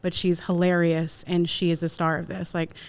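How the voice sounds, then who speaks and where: A woman with a fairly low, clear voice talks casually as a panel guest. She tells personal stories in an animated way, often voicing her own thoughts as dialogue. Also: normal speed.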